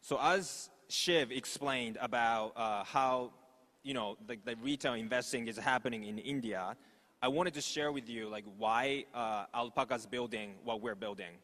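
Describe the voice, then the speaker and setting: A man from Japan with a Japanese accent, a native Japanese speaker, giving an informal, halting conference presentation. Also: mid-range voice, fairly bright tone. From Osaka, Japan. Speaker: startup executive, conference speaker. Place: large conference hall stage. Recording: echoey hall with long reverb.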